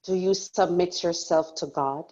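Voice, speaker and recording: A woman playing a smug, commanding cult leader in a thriller script read, solemn and deliberate. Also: on the low side, fairly even intonation, darkish tone, muffled recording. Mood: solemn ritual.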